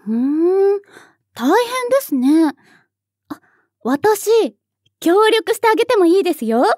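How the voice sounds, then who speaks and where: An actress playing a haughty tsundere young office worker in a voice drama, coy and blunt. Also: female voice, extremely high pitch, big pitch swings, takes it slowly, darkish tone, no echo, clean studio sound, exaggerated voice. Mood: coy, probing. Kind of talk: Japanese voice drama dialogue.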